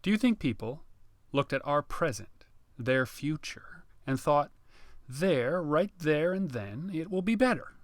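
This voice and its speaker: A man voicing an animated, insistent man who frets about the future in an audiobook. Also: fairly high pitch, measured pace, dry recording, voice pushed up. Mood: musing, animated. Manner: insistent, emphatic, exasperated. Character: man obsessed with future, agitated, animated talker.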